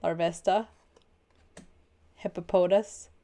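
A woman in her thirties co-hosts a card-opening stream with a playful, giggly manner. Her voice sits a little low for a woman. She sounds out names and reads viewer messages aloud. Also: fairly even intonation, slow, dark tone. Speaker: co-host, host's wife. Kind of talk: casual live-stream chat. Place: indoor room full of card products.

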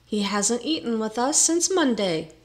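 A woman voicing an excitable, gullible older carpenter in a storybook tale, pious, proud and given to blustering exclamations. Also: a little high, a little slow. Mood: worried, irritated.

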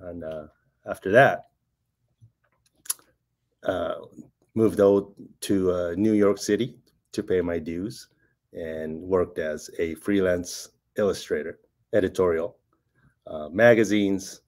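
A man in his fifties, born in Japan, speaks over a video call from a room at home. His voice sits a little low, and he talks in a soft, hesitant way, with small laughs between his thoughts. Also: slow pace, pauses often. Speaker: guest artist. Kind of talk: casual conversational interview.

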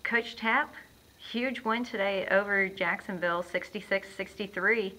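A woman's voice: mid-range voice, a little slow, pushed and bright, rough recording. A woman who puts upbeat, leading questions to a coach and players at a post-game press conference. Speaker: team media interviewer.

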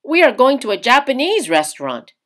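An eager young man courting his sweetheart in a storybook, voiced by a woman reader. He is playful and admiring, with emphatic, teasing lines that leap around in pitch. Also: very animated, brisk, fairly bright tone. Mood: questioning.

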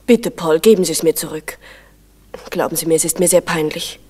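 A woman's voice: sits low, fairly quick, a little mellow, a little hiss or hum, natural voice. An actress playing an anxious woman pleading to protect her man in a vintage radio crime drama.

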